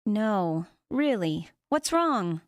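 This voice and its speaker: An actress in an audio drama playing a long-suffering wife with a pointed way of talking. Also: female voice, medium pitch, big pitch swings, drawn-out words, no echo, clean studio sound. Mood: concerned, pressing. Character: exasperated working wife, sweet turning sharply disappointed. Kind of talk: naturalistic audio drama acting.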